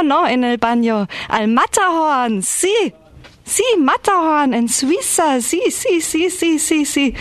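A woman's voice: on the high side, no echo, close to an everyday voice. A woman playing a chatty tourist in a live radio play, exclaiming and repeating words.

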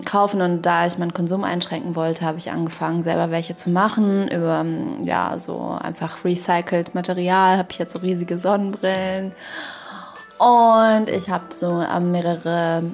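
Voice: medium pitch, little pitch movement, normal speed, faint music behind. A woman's voice co-hosting a podcast in casual chat, playful and ironic, wandering from thought to thought. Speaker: podcast co-host.

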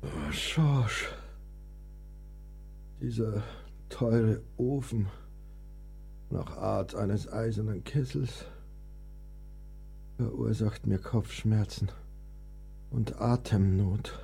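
A man acting a sickly, melancholic and capricious young composer in a German radio play, theatrical, drifting between languor and excitement. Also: lowish voice, extremely slow, vocal fry, long pauses, heavily acted. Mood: languid, suffering. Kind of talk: German audio drama acting. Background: music.

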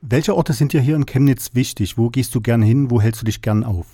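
Man with a mellow husky voice. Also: medium pitch, fairly quick, no room sound, clean studio sound. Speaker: radio interviewer. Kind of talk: radio interview questions.

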